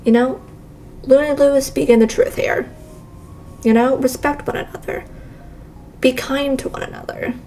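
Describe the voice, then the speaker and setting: A woman's voice: sits high, fairly even intonation, normal speed, long pauses, mellow, slight background noise, low-quality recording. A woman doing a casual livestream playthrough, reading game text aloud and adding informal, wry asides. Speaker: streamer playing and reacting to visual novels.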